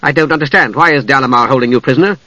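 A man voicing an earnest, shy, lovestruck young male wizard in a fantasy audiobook, with a soft-spoken, hesitant manner. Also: medium pitch, rapid-fire, dry recording.

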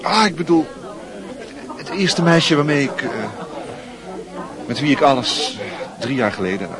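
A man's voice: a little high, very animated, a little slow. A man acting a brash, chummy young school leaver who schemes and boasts, in a radio drama. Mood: confiding, smug. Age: young adult. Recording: busy crowd noise behind. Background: crowd chatter.

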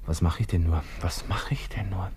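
An actor playing a vain, jittery young Austrian army lieutenant in a radio drama monologue, muttering excitedly to himself in a husky voice. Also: male voice, low voice, moderate pace, slight background noise, close to an everyday voice. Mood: giddy relief.

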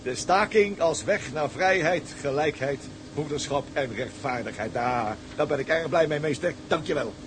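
An actor playing an earnest, agreeable ship's engineer in a radio drama, given to declamatory talk. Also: male voice, on the high side, moderate pace, background noise, close to an everyday voice. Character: earnest, eager male ship's engineer, easily swayed.

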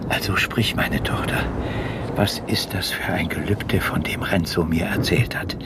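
An actor in a radio play playing a grave, fatherly priest with a solemn, gentle, breathy delivery. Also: low voice, steady tone, unhurried, very noisy. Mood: gently probing. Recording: music behind the voice.

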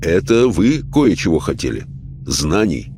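A man playing a calm, firm seance questioner in an audiobook, measured, grave and insistent. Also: low-pitched, slight background noise. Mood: concerned.

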